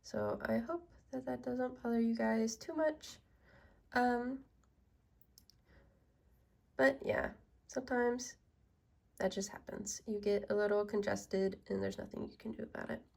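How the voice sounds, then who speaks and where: A woman narrating her small business vlog, stuffy and congested, walking through her work in a soft, unhurried way. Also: fairly high pitch, vocal fry. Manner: slow, soft-spoken walkthrough.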